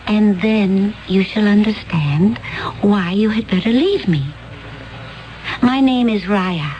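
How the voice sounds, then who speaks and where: An actress playing a calm, mysterious woman from another planet, speaking in a solemn, measured way in a 1970s radio drama. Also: mid-range voice, lo-fi sound, lightly acted.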